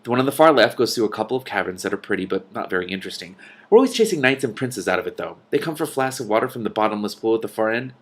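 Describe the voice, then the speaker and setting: A man's voice: on the low side, sing-song, racing. A man voicing a matter-of-fact female dragon from a storybook, explaining things with dry, scornful authority.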